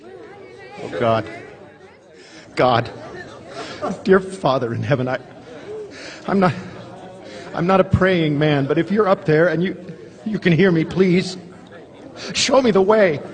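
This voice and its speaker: A man's voice: on the high side, lively intonation, takes it slowly, pauses often, some chatter behind. A desperate, stammering family man. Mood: praying. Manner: frantic, stammering. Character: desperate young family man at breaking point. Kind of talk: live radio drama acting. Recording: theater stage with long reverb and music.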